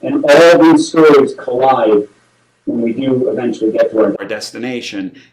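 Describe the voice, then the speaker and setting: A man's voice: mid-range voice, normal speed, darkish tone, low-quality recording. A middle-aged American man from Massachusetts chatting enthusiastically and effusively as a film producer being interviewed. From Massachusetts, USA. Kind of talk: casual promotional interview chat.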